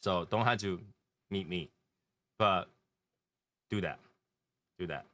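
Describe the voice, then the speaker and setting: Bright-voiced man with a Taiwanese accent. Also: deep voice, takes it slowly, no room sound. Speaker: host and leader of an online cigar community. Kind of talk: casual group chat.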